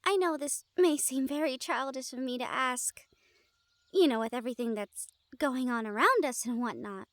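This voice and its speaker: A woman's voice: high voice, moderate pace, fairly bright tone, dry recording. A woman acting in an intimate audio roleplay as an anxious, tender young woman from a family of hunters, speaking earnestly and hesitantly. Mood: sheepish, hesitant.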